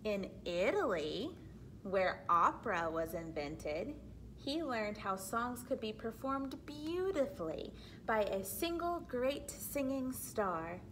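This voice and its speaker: A woman reading a children's book aloud expressively, pausing to explain things simply like a teacher. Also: a little high, very animated, a little hiss or hum, low-quality recording. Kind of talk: read-aloud storytelling with explanatory asides for children.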